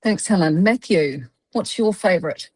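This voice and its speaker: A New woman hosting a casual interview, introducing guests in a friendly, brisk way. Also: lowish voice, lively intonation, a little mellow, slightly rough, dry recording. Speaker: podcast host.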